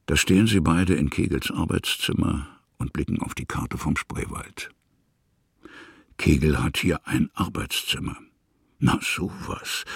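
A man with a gravelly, husky voice reading literary narration for a radio play. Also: extremely low pitch, no room sound, studio quality.

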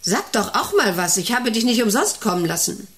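An actress playing a middle-aged, self-important and intrusive company director in a radio play, rambling and domineering with a creaky voice. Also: female voice, mid-range voice, big pitch swings, moderate pace, fairly bright tone. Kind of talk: radio play monologue and dialogue.

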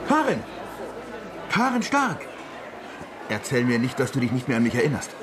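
Animated, chummy newspaper man. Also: medium pitch, moderate pace, crowd noise, background noise. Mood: delighted. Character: chummy, effusive male newspaper editor, old university acquaintance. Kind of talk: radio drama acting.

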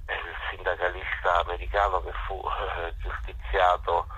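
Husky, bright-voiced low man. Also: completely flat delivery, normal speed. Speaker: musician, interview guest. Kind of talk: radio interview, explanatory. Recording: muffled, band-limited phone-like radio sound.

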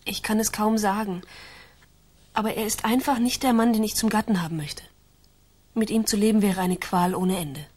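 Young noblewoman, female actor, radio drama: cool, proud, formal, slightly husky voice. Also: medium pitch, normal speed, a little mellow, natural voice. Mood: indignant. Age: young adult. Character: proud, reluctant young colonial noblewoman and daughter. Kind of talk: German radio drama acting.

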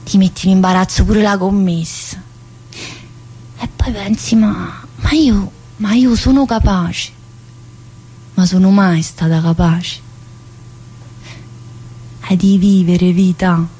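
Casting: a scrappy, needy woman inmate, mid-pitched voice, colloquial and joking, solo stage monologue addressed to unseen listeners. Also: fairly even intonation, warm tone, breathy edge. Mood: embarrassed, bewildered, then doubting, hushed. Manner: halting, repetitive, pleading, addressing unseen listeners. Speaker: stage actress performing a solo play. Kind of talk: theatrical monologue in character.

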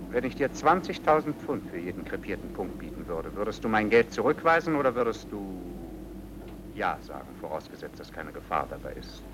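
An actor playing a suave, smugly amoral racketeer in a vintage radio play, with a nonchalant, theatrical delivery. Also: male voice, lowish voice, background noise. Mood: cynical, menacing. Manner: theatrical, nonchalant, swinging from muttering to declaiming.

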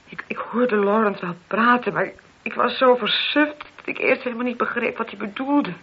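An actress in a radio play voicing a distressed married woman who speaks haltingly as she confesses to a police inspector. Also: on the high side, slightly rough. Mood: shaken, confessing. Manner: hesitant, halting, repeating words in distress. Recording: muffled, lo-fi radio drama recording.

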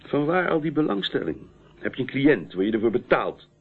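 A man's voice: mid-range voice, big pitch swings, brisk, husky. A man acting in a radio play as a persistent police inspector, questioning in a businesslike, authoritative way. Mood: stern, insistent. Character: stern, persistent male police inspector interrogating a witness. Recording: muffled, narrow-band old radio recording.

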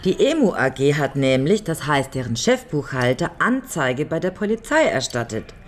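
An actress playing a resolute, level-headed lawyer in a radio crime drama, explaining her plan in a matter-of-fact, deliberate way. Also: low voice, very animated, slight background noise. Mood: confident, organising.

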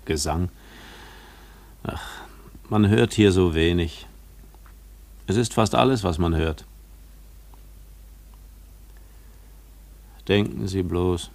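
An actor playing a guarded man who keeps away from the world, talking in reserved, deliberate phrases in a German radio play. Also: deep voice, creaky, a little mellow, heavily acted. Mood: evasive. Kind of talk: German radio play acting, literary dialogue.

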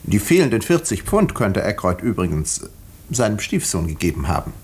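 An actor playing a composed, educated doctor in a 1950s German radio mystery, speaking in a measured, dry and precise way. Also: male voice, lowish voice, sing-song, darkish tone, husky, heavily acted. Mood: thoughtful, reserved. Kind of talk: 1950s German radio drama narration and dialogue.

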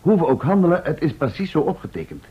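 A man's voice: fairly high pitch, sing-song, fast, husky, full character voice. A man acting a sober, cautious and resolute writer in a Dutch radio play, reasoning firmly and formally. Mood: firm, agitated. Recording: dull old radio play recording.

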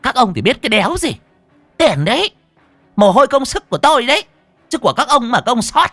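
A man's voice: huge pitch swings, brisk, a bit bright, husky, dry recording, faint music behind, exaggerated voice. A man voicing a fat, foul-mouthed, furious woman who runs a rice eatery, scolding and cursing in barked questions. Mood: indignant, threatening. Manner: shrill cursing, scolding, barking rhetorical questions.

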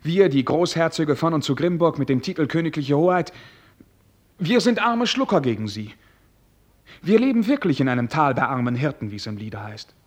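An actor playing a stiff, naive young prince, formal, earnest and hesitant, in a German radio play. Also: male voice, a little high, very animated, moderate pace. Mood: troubled, awed.

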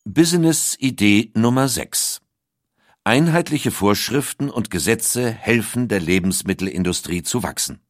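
A man's voice: lowish voice, expressive, measured pace, no echo, clean studio sound. A man reading a scripted documentary audiobook as its narrator. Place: dry recording studio.

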